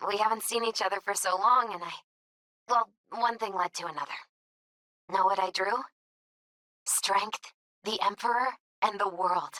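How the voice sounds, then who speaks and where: Actress as young female tarot reader, gentle, earnest, mystical, thoughtful hesitant phrasing, mid-range pitch, dry close sound, video game dialogue. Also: dry recording, close to an everyday voice, low-quality recording. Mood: warm, apologetic.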